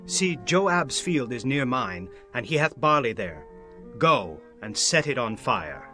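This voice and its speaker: An actor playing a proud, scheming young prince in a dramatized scripture reading, declaiming in formal archaic phrases. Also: male voice, mid-range voice, very animated, slow, bright, forceful tone, soft background music, exaggerated voice.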